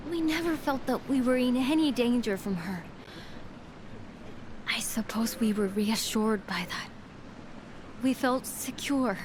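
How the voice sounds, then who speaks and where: A woman's voice: fairly high pitch, noisy background, natural voice. An actress playing an earnest, distressed townswoman in a fantasy video game. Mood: sorrowful. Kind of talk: video game cutscene acting.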